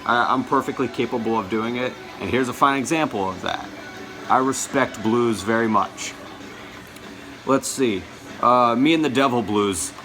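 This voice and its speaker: A man talks casually to his viewers at an ordinary pitch. He rambles, trips over his words and stops to correct himself before carrying on. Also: moderate pace, over music, poor audio. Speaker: vlogger showing his collection. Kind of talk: casual chat to camera.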